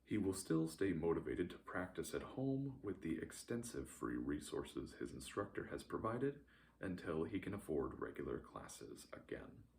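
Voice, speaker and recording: Very deep-voiced man narrating a recap. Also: very animated, moderate pace, creaky, a little mellow, a little hiss or hum. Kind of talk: scripted third-person recap narration.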